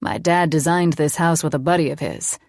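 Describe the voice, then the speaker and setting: A woman's voice: sits low, brisk, darkish tone, dry recording. Lady reading as a laid-back confident guy, proud, bit teasing, audiobook dialogue, very dry sound. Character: easygoing, proud, teasing man chatting with friends.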